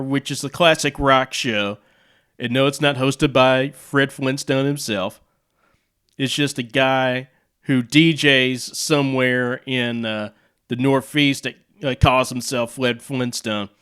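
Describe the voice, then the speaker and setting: A man's voice: mid-range voice, steady tone, takes it slowly, fairly bright tone, clear, resonant tone. An American man explaining things casually and off the cuff, with rambling, conversational asides. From United States. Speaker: video host, radio hobbyist.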